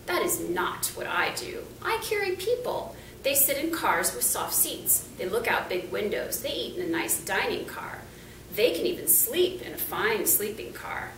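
A woman's voice: medium pitch, very animated, measured pace, a bit bright, reverberant, far from the mic, a little hiss or hum, poor audio. A woman voicing a haughty, unfriendly male train engine in a children's storybook, snooty and dismissive. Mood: scornful.

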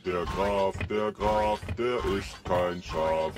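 Storybook golem, acted by a man: reluctant, simple, deep and slow, music behind. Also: steady tone. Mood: singsong. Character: big, reluctant, naive iron golem.

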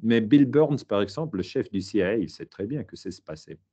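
A man's voice: on the low side, animated, fairly quick, dark tone, husky, dull audio. A middle-aged man with a Swiss German accent, a historian answering interview questions in a hesitant way, with many fillers and restarts, speaking from a home study.

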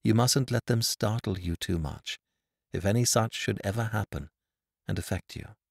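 A man voicing an excitable, warm-hearted young wife in a Victorian storybook, breathless and exclaiming. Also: lowish voice, normal speed, vocal fry, no room sound, clean studio sound, voice pushed down. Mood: gentle, nervous.

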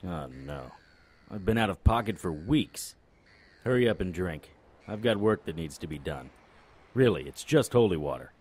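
An actor playing a cocky, unflappable supernatural private investigator in an audio drama, full of wry, flippant banter. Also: male voice, low-pitched, expressive, normal speed, a little mellow, breathy edge. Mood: impatient.

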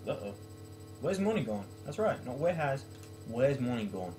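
A man's voice: mid-range voice, big pitch swings, moderate pace, darkish tone, soft background music. A young man talks in a casual, homemade student style, as if at his desk in a bedroom. His voice sits in an ordinary male register and moves between loose chat and reading aloud. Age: late teens to young adult. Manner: self-mocking, jokey. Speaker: student vlogger. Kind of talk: casual talk and poem reading. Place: small bedroom, indoors.

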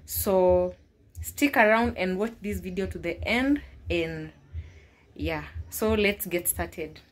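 A woman's voice: on the low side, takes it slowly. A young woman, chatting casually to viewers as she tidies her bedroom, recorded on a phone. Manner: chatty, talks viewers through her tidying with small restarts. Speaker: vlogger. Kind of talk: casual home vlog narration. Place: bedroom at home.

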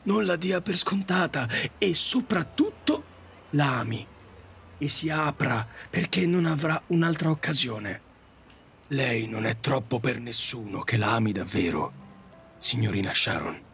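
Guy acting a kind earnest cabbie, polite, really animated pitch, radio play style. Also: unhurried, vocal fry, slight background noise. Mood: earnest, pleading. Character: earnest, kindly male taxi driver, Frank. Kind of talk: audio drama acting.

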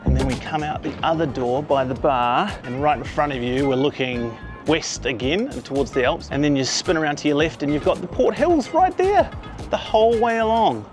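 A man in his forties with a New Zealand accent, excitedly tasting food and touring rooftop bars, filmed on a handheld camera. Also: a little high, moderate pace. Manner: enthusiastic, chatty, exclaims over food. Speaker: food vlogger. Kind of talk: casual food and travel vlog. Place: rooftop bars, outdoors and under cover. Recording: music playing behind.

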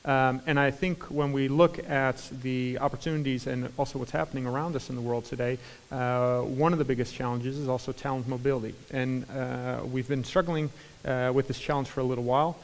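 Keynote speaker, male, 40s, American accent, energetic with many "uh"s, mid pitch, long hall reverb. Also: fairly quick. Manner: energetic, many fillers and restarts. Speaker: conference speaker. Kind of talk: keynote talk. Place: large conference hall stage. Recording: hall reverb from a large room.

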